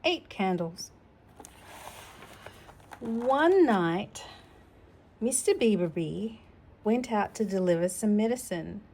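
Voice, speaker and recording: A woman's voice: medium pitch, darkish tone. A woman reading a picture book aloud to a young child, expressively, with chatty asides. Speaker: storytime reader.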